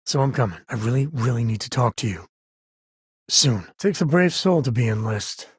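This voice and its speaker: An actor playing a rugged space-ranger father in a video game, with a husky, raspy voice and a wry, earnest, conversational manner. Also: medium pitch, lively intonation, normal speed, no room sound, studio quality. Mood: somber, earnest. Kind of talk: video game character voice lines.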